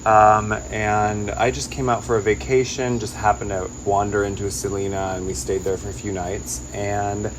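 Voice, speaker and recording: A man's voice: fairly low pitch, level tone, moderate pace, hardly any pauses, slight background noise, rough recording. A man from Los Angeles with a Californian accent, answering interview questions in a casual, informal way with lots of "like". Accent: Californian American. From Los Angeles, United States. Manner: informal, peppered with "like". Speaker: interview guest, singer-songwriter and digital nomad.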